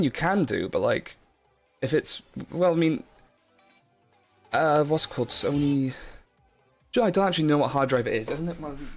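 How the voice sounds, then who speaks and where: A young man chatting casually on a live stream, rambling with lots of fillers and restarts while he answers chat. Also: mid-range voice. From Wales.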